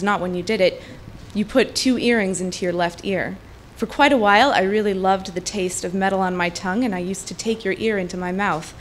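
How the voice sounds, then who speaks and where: A woman reading literary fiction aloud at a live event, in deliberate, fluent narration with pauses. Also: medium pitch. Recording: live event with background noise.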